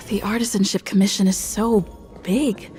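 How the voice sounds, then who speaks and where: A watchful young female game companion, played by an actress, muses in a mid-pitched voice. She sounds questioning and thoughtful, over faint string music. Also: fairly even intonation, a little slow, a little mellow, close to an everyday voice. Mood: pondering. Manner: musing, questioning. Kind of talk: video game character acting.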